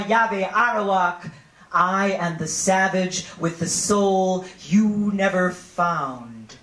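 A woman in her thirties performing spoken-word poetry in a declamatory, deliberate way with dramatic pauses, on a handheld microphone in a hall. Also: mid-range voice. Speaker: poet. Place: indoor hall, on stage. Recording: roomy live hall sound, music behind.

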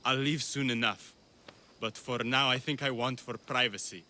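An actor playing a gentle, lovelorn middle-aged Greek philosopher in a video game, speaking in a reflective, hesitant way. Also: male voice, medium pitch, steady tone, moderate pace. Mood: grieving, resigned. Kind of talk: video game dialogue acting. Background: game music.

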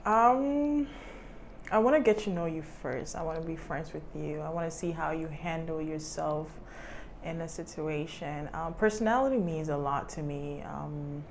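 A woman's voice: deep voice, moderate pace, a little hiss or hum. A young woman, born in Ghana and living in the United States, speaking candidly as a guest in a casual studio interview. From Ghana, raised in the United States. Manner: candid, laughs often, says "um" and "like". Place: studio set with screens, indoors.

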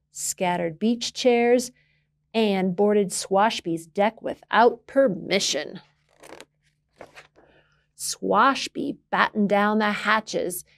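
A woman reading a children's picture book aloud as a library storyteller, expressively, with emphasis and dramatic pauses. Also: medium pitch.

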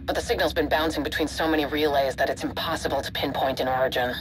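A woman's voice: deep voice, fairly even intonation, fast, noisy background, close to an everyday voice. A woman playing a driven, hard-edged agency commander in a video game drama. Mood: determined. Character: driven, frustrated female agency commander hunting a rogue.

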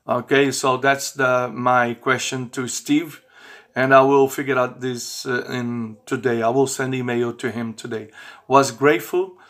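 A man in his fifties, not a native English speaker, talking informally to camera in halting, repetitive phrasing. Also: medium pitch, a bit bright. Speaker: amateur radio operator and vlogger. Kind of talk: informal video message to camera. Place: small bedroom, indoors.

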